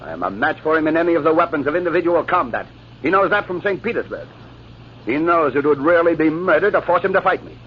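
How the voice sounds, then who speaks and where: An actor playing a proud, honor-bound army captain in a theatrical, formal 1940s radio drama style. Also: male voice, on the high side, lively intonation, quick pace, close to an everyday voice. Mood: indignant, proud. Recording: muffled, noisy old radio broadcast.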